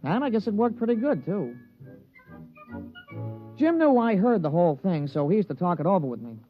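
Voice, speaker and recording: An actor playing a chatty, opinionated golf caddy in a vintage radio drama, with folksy, colloquial talk. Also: male voice, sits high, big pitch swings, moderate pace, faint music behind. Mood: chatty, wry. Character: chatty, opinionated male golf caddy, plain-spoken storyteller. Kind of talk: 1950s radio drama narration and dialogue. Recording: muffled vintage radio recording.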